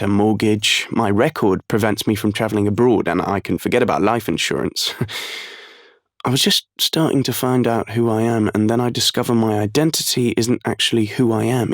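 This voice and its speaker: Hesitant, creaky-voiced troubled young man, actor's performance. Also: fairly low pitch, dry recording, studio quality, close to an everyday voice. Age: young adult. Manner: hesitant, halting. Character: troubled young man, lost identity, anxious and resigned. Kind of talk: audio play monologue.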